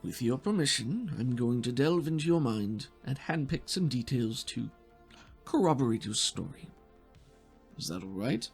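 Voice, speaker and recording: A stern male interrogator in a tabletop roleplaying game, acted by a game master, speaks with formal, deliberate wording. His voice is husky and gravelly, gravely reassuring and firm, with faint music behind it. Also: mid-range voice, lively intonation. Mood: formal, cautious.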